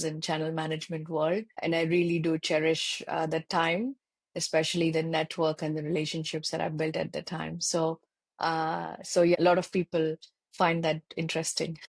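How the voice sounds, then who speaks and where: A middle-aged woman answers interview questions over a video call. Her voice sits low and slightly breathy, and the sound is dry and close. She talks in a measured, reflective way, pausing with small fillers. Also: completely flat delivery, no room sound. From India. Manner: thoughtful, measured, with many fillers. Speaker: interview guest, tech channel lead.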